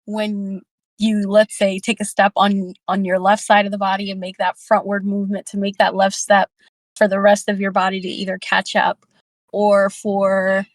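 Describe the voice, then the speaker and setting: A woman's voice: medium pitch, completely flat delivery, moderate pace, dry recording. A woman in a casual, reflective group discussion, speaking tentatively as she thinks aloud. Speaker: book club discussion participant and facilitator.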